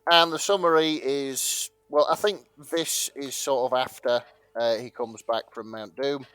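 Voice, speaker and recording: A man's voice: mid-range voice, fairly even intonation, a little slow, fairly bright tone, clear, resonant tone, no room sound. A man chatting casually as a panellist on a podcast. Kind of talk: casual banter chat.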